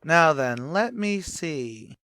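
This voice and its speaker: A man's voice: a little high, sing-song, slow pace, sharp tone, no echo, clean studio sound, full character voice. A man playing a boastful, wisecracking tavern manager in an old-time radio comedy, with a pompous, blustery delivery.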